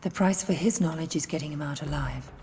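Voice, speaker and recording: Lady playing a matter-of-fact handler in a spy game, deep soft-toned voice, echoey hall, faint noise. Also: fairly quick, lightly acted. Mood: composed, businesslike. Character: businesslike female agency handler briefing an assassin. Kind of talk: video game cutscene acting. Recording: long reverb, faint noise.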